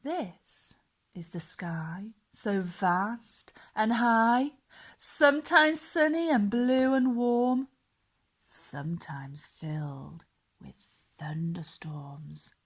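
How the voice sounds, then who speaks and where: A woman reading a children's story aloud in a dramatic, measured way, lingering on the rhymes. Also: on the low side, slightly rough.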